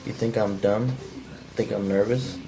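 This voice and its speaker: A man's voice: lowish voice, fairly even intonation, unhurried, poor audio. A non-actor man cold-reading the part of an edgy, blunt, folksy killer in a script table read. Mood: defensive. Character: edgy, cold-blooded man confessing to killing. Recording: faint background noise.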